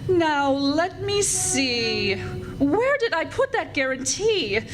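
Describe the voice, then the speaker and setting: A woman's voice: sits high, very animated, takes it slowly, soft background music, heavily acted. A woman acting a haughty, flustered society matron in an old-time radio comedy, with affected, grandly indignant speech. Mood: distressed, indignant. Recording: live stage recording, faint background noise.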